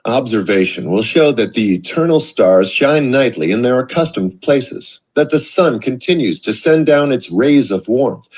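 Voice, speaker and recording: A man reading a self-help book aloud in a measured, expressive way. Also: medium pitch, slightly rough, poor audio. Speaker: audiobook reader. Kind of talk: read-aloud narration.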